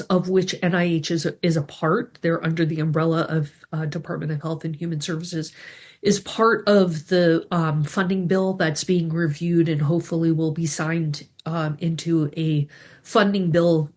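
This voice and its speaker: A woman's voice: low voice, darkish tone. A woman with a stuffy, congested voice from a cold, hosting a members' video call about grant writing and chatting through updates with many ums. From New England. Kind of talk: casual video call briefing.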